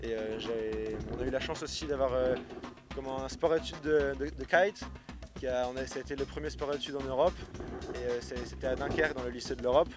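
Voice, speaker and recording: A man's voice: medium pitch, steady tone, a little fast, music in the background. A young man from France, a kitesurf athlete, answering interview questions casually with many fillers and restarts. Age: young adult. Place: outdoors at a beach resort.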